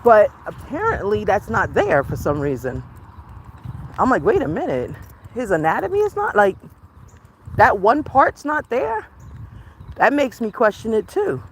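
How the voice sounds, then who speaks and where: A woman's voice: medium pitch, huge pitch swings, measured pace, long pauses, a little hiss or hum. An American woman hosting a livestream, chatting casually and rambling to her viewers while out on a walk outdoors. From United States. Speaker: livestream host. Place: outdoors on a walk, rural or natural setting.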